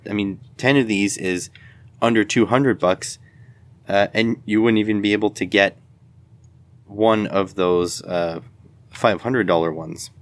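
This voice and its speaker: A man giving a casual, unscripted product demonstration in a rambling, matter-of-fact way. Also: on the low side. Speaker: video host, electronics product reviewer.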